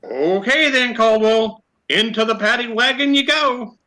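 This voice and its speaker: Actor as a high, sharp, blustering police sergeant. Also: male voice, measured pace, heavily acted. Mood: stern, puzzled. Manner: barking, repetitive orders. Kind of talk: old-time radio comedy acting.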